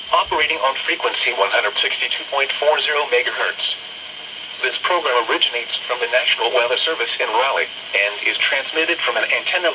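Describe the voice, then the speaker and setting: A computerised man's voice at a middle pitch reads an official bulletin in a formal, impersonal way. It comes out of a radio speaker with clearly audible noise. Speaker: automated weather radio announcer. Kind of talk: scripted weather bulletin. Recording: noisy weather radio played through speaker.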